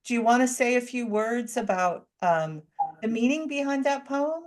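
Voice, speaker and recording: A woman moderating a student panel over a video call, speaking calmly and slowly with frequent ums. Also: a little high.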